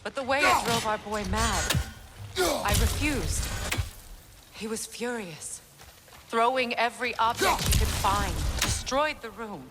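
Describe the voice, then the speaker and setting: A woman playing a sorrowful, regal middle-aged goddess and mother in a video game, speaking in a measured, earnest, wistful way. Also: a little high, loud music behind the voice, lightly acted. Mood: bitter, hurt. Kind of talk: cinematic video game acting.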